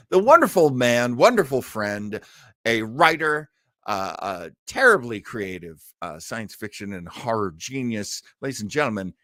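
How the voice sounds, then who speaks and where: An enthusiastic man hosts a radio show in a casual, chatty way. His pitch moves around a lot, with showman-like flourishes, and the sound is close and dry. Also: pushed and bright, no echo. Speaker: host of an old-time radio show. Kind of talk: casual chat and show intros.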